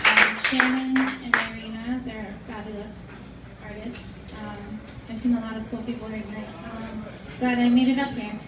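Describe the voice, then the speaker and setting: A woman's voice: fairly high pitch, little pitch movement, moderate pace, loud music behind the voice. Young female open-mic performer, casual stage chat, very echoey small room, recorded from a distance. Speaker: singer-guitarist at an open mic. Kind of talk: casual chat introducing a song. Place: small indoor store venue with wooden floor. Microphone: stand mic, heard through distant phone camera. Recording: echoey small venue, far from camera.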